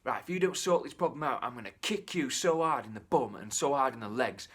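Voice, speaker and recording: A hot-tempered storybook king fumes and blusters with comic threats. A man plays him close to his own voice, with a lively swing in pitch. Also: a little fast, breathy edge. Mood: blustering.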